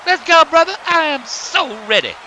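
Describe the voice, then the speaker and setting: High-voiced, brash grizzly pimp wrestler. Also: male voice, very animated, moderate pace, background noise. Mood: boastful. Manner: boastful, taunting, slangy. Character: brash trash-talking male grizzly bear pimp wrestler.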